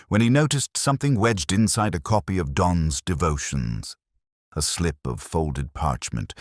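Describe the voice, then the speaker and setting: An exceptionally deep male voice narrates a literary mystery in the first person. His delivery is brooding and measured, yet lively in its rise and fall. The sound is close and dry, free of room echo.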